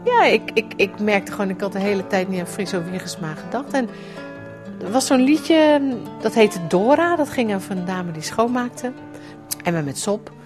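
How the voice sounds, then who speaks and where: A middle-aged woman, telling a personal recollection in a conversational, reflective way. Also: medium pitch. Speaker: writer, guest presenting a personal radio piece.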